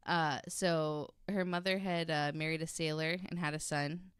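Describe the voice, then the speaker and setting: A woman's voice: sits low, fairly even intonation, moderate pace, smooth, dry recording, studio quality. A woman podcast host telling a story in a casual, conversational way, with wry, informal asides.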